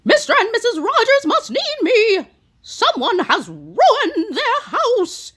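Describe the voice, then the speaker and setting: Super high squealy housekeeper lady, slow drawn-out exclamations, bouncing all over. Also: huge pitch swings, fairly bright tone, exaggerated voice. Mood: shocked. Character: cheerful literal-minded adult housekeeper woman. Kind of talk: storybook read-aloud.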